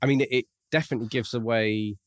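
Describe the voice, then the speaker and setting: A man's voice: medium pitch, steady tone, fast talker, dry recording. A man hosting a book-club podcast in a conversational presenting style, close to the microphone. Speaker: podcast host. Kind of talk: podcast introduction and casual book discussion.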